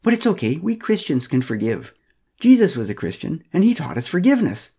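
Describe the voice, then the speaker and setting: A man voicing an oblivious, preachy older woman neighbor in a spoken story, with a husky voice. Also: fairly high pitch, huge pitch swings, fairly quick, warm tone, voice pushed up, full character voice. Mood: indignant.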